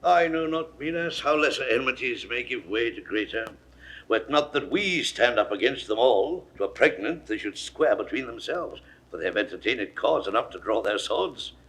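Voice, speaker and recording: An actor playing a proud, confident, scornful Roman rebel leader in a 1960s Shakespeare audio drama, with emphatic oratorical delivery. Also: male voice, mid-range voice, lively intonation, normal speed, fairly bright tone, rough recording. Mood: resolute. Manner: oratorical, emphatic declaimed verse.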